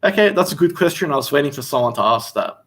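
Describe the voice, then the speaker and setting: A man's voice: on the high side, fast talker. Chatty Australian man hosting a livestream. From Western Australia. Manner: relaxed and chatty, reads viewer questions aloud. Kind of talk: casual livestream Q&A. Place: seated indoors.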